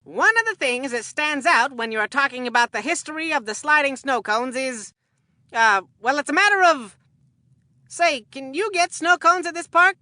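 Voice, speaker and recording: Goofy rambly kid baseball announcer, cartoon game voice, real bright and forceful, folksy, dry studio sound. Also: female voice, sits high, animated, normal speed, no echo. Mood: chatty, amused. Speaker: sports commentator. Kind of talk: cartoonish scripted game commentary.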